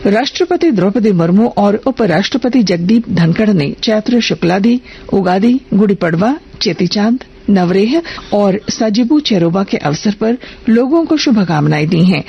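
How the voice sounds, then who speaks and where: A woman reading a scripted radio news bulletin in a formal, measured manner. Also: mid-range voice, animated, gravelly, slightly rough, muffled recording.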